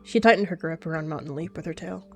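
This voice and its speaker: A woman's voice: low-pitched, quick pace, soft background music. A woman narrating a cat roleplay story and speaking her character's lines in quotes.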